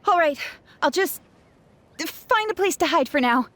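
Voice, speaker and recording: A woman's voice: very high voice, lively intonation, unhurried, fairly bright tone, exaggerated voice. A woman playing a friendly, spirited young female villager in an English video game dub, speaking casually and expressively. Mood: nervous, exasperated.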